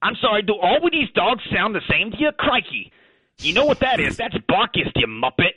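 A man's voice: a little high, wildly swooping pitch, pushed and bright, dry recording, full character voice. A man voicing a cheeky, touchy pet dog with an Australian accent, blustery and slangy, in a comic radio phone interview. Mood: indignant. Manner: blustery, slangy, quick to take offense then apologize. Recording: thin phone line.

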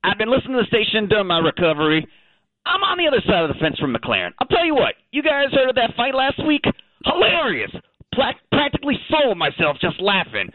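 A man's voice: sits high, wildly swooping pitch, no echo. A man voicing a cheeky, touchy pet dog with an Australian accent, blustery and slangy, in a comic radio phone interview. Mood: cheeky, jokey. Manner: blustery, slangy, quick to take offense then apologize. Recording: thin phone line.

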